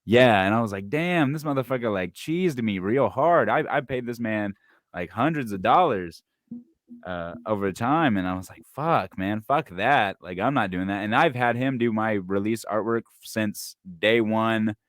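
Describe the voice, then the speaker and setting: A man's voice: lowish voice, animated, normal speed, no room sound, clean studio sound. A man in his twenties co-hosting a podcast, chatting casually and laughing often, swearing freely. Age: 20s. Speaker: podcast co-host, musician. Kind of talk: casual chat.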